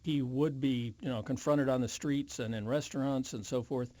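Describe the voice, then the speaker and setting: A man's voice: mid-range voice, fairly even intonation, normal speed, warm tone, no room sound. An American man in his seventies answering as an interview guest, reflective and hesitant as he chooses his words. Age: 70s.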